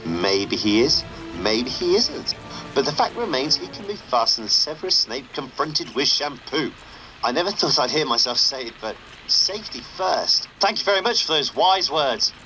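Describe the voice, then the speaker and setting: A man's voice: mid-range voice, big pitch swings, normal speed, over music, close to an everyday voice, rough recording. An actor voicing a cheeky, upbeat young man hosting an underground radio show in a video game. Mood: earnest signing off. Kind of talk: radio broadcast banter.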